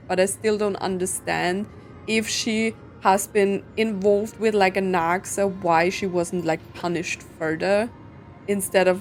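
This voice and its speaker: Female game streamer, mid-pitched, casual musing commentary, game music underneath. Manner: rambling, musing aloud.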